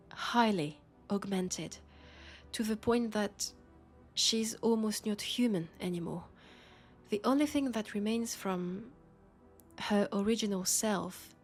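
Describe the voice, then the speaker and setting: A woman with a French accent playing a weary, guarded policewoman confiding quietly and hesitantly in an intimate sci-fi audio roleplay. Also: mid-range voice, lightly acted.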